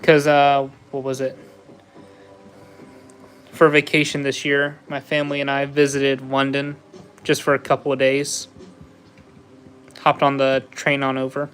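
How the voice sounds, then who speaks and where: Slow, easygoing man. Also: medium pitch, completely flat delivery, fairly bright tone, soft background music. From USA. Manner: slow, easygoing, chatty with viewers, laughs often. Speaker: livestream host. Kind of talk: casual chat while building Lego live.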